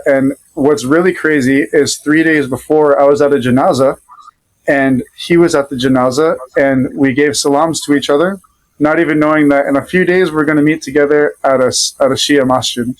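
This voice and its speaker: A man's voice: fairly high pitch, poor audio. Easygoing man with a Rhode Island accent. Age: adult father. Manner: easygoing, loosely rambling with many pauses. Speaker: podcast guest. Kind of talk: casual conversational storytelling.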